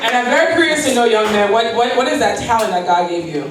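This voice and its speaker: A woman in her forties from Liberia tells her story in an earnest, heartfelt speech at an event. Her voice sits a little high. It reaches the mic from far off, swamped by the echo of a big hall. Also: expressive, fast talker, crowd noise. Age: 40s. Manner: earnest, storytelling, with quoted prayers and light laughs. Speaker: keynote speaker.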